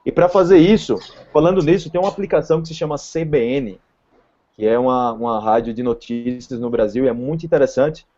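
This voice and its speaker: A man's voice: medium pitch, brisk, dark tone. A Brazilian man with a Northeastern accent, hosting an interview in a chatty, encouraging way. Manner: chatty, encouraging, strings thoughts together. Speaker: host and language teacher. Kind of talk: casual interview chat. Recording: dull lo-fi sound with crowd noise behind.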